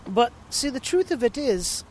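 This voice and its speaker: A man's voice: very high voice, big pitch swings, normal speed, darkish tone, a little hiss or hum. A man from the American Midwest speaking with an affected-sounding English accent, telling stories in a rambling, repetitive, playful casual monologue. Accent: put-on sounding English. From Milwaukee, Wisconsin, United States.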